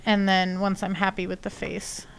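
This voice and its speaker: A woman's voice: mid-range voice, completely flat delivery, moderate pace, a little hiss or hum, rough recording. A woman with a sniffly nose chatting casually and rambling with many fillers while explaining her sculpting on a live stream. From not from Texas. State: sniffly from allergies. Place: home studio, lives in Texas.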